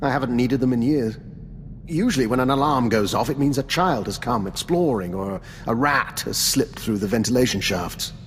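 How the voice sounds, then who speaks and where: An actor playing an urbane, calculating old doctor and veteran spy in a video game, with a refined, courteous, understated manner. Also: male voice, mid-range voice, normal speed, in a large hall, a little hiss or hum, lightly acted. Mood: unconcerned, wry. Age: older. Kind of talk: video game dialogue acting.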